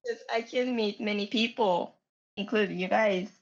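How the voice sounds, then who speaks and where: A woman's voice: medium pitch, moderate pace. A young woman from Japan with a Japanese accent, chatting as a podcast guest and searching for her words as she goes. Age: young adult. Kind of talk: casual podcast chat. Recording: dull lo-fi sound.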